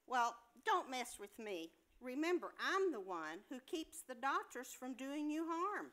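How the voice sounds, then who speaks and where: A woman's voice: sits high, sing-song, a little slow, a bit bright, exaggerated voice. A woman playing a brusque, bossy hospital nurse in an amateur play reading, curt and dismissive as she scolds.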